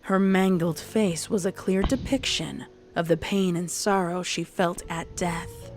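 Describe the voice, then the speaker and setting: Low-voiced woman narrating a dramatic story. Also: measured pace. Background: music.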